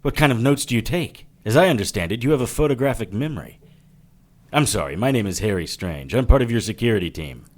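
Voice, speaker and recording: A man's voice: medium pitch, animated, fast talker, lightly acted. A man playing a wry, wary 1940s private detective narrating a hard-boiled radio drama. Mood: sheepish.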